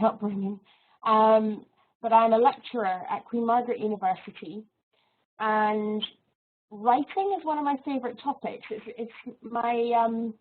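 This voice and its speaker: A woman lecturer giving an online teaching webinar in a friendly, measured way, with soft laughs and fillers. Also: on the high side. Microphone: video call microphone. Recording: tinny phone-like audio.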